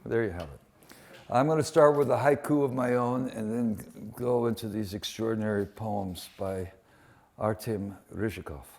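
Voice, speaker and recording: A man introducing poems at a live reading, talking informally in a halting, digressive way. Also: fairly low pitch, darkish tone. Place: museum event before an audience.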